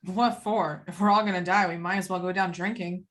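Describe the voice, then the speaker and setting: A woman's voice: on the low side, fairly even intonation, fairly quick, natural voice. A woman playing a brash, bossy, hard-drinking tough in a horror script reading. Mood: flippant. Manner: sassy, bossy.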